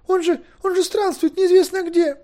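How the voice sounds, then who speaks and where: A man voicing an excitable, earnest young woman in a fantasy audiobook, breathless, fretful and exclaiming. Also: extremely high pitch, normal speed, voice pushed up. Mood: panicked.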